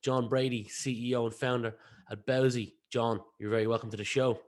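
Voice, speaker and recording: A man's voice: mid-range voice, level tone, fairly quick. A man hosting a business podcast, introducing his guest with welcoming, scripted lines. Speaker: podcast host. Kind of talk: podcast interview host.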